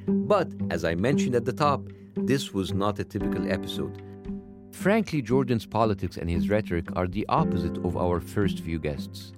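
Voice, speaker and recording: A man's voice: moderate pace, over loud music. A man with a foreign accent hosting a podcast, giving a wry scripted monologue and then asking a question. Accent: foreign-accented English. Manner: animated, wry, pitch jumping up for emphasis. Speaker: podcast host.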